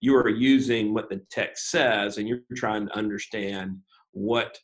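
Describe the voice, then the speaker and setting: A man, a pastor, explaining his views in an interview in a measured, methodical way, with frequent uh and um pauses and some self-deprecating humor. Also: lowish voice, steady tone.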